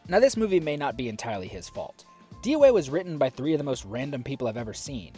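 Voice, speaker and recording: A man's voice: mid-range voice, very animated, fast, mellow. Male reviewer, scripted commentary, dry sarcastic asides, bouncy intonation, faint music bed. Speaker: film reviewer.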